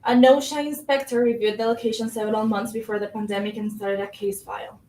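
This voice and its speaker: In an echoey room, an actress plays a determined female official in a stage drama. Her voice is fairly high, and she sets out her case in earnest, measured, formal terms. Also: steady tone, mellow, natural voice. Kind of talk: formal monologue in a staged reading.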